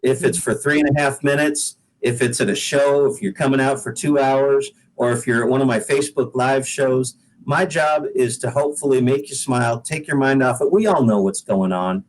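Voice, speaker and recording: A man's voice: medium pitch, normal speed, hardly any pauses, rough recording. An American man from the Pacific Northwest chatting casually as a guest singer-songwriter, explaining himself in an earnest, easygoing way. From Pacific Northwest, United States. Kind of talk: casual interview chat.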